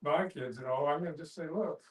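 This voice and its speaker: An older man with a somewhat high voice speaks casually in a group. He is halting yet emphatic, with a folksy turn. The mic is some distance from him.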